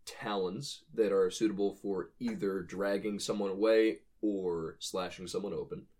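A man's voice: deep voice, fairly even intonation, measured pace, darkish tone. A man co-hosting a casual speculative discussion, explaining his ideas with enthusiasm as he reasons aloud. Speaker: podcast co-host.

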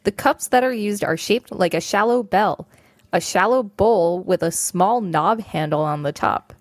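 A woman's voice: medium pitch, unhurried, dry recording, clean studio sound. A female podcast co-host reading an encyclopedia article aloud in a casual, informal setting.

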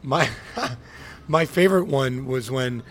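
Podcast host, man, 30s, from Miami, animated ranter, mid pitch. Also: slow pace, slight background noise. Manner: animated storyteller, ranting, acts out quotes. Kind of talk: casual podcast chat.

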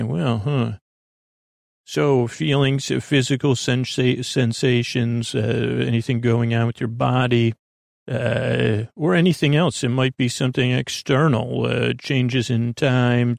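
A man hosting a bedtime podcast in a gravelly voice, rambling and halting, often trailing off mid-thought. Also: medium pitch, no room sound, studio quality. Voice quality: creaky, gravelly. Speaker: podcast host.

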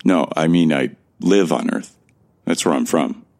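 Man acting very deep, gravelly, bewildered amnesiac. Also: a little slow, a little mellow. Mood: bewildered, earnest. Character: bewildered, introspective amnesiac man from Earth, recounting events. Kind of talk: first-person audio drama narration.

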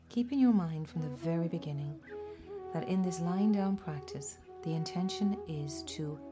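A woman leading a guided body scan meditation, giving gentle, measured instructions with deliberate pauses. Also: lowish voice. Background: music.

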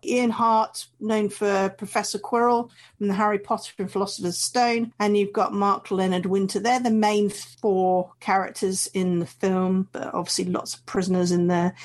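A woman co-hosting a casual film review chat, chatty, with frequent restarts and easy laughter. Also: medium pitch. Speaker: film review podcast co-host.

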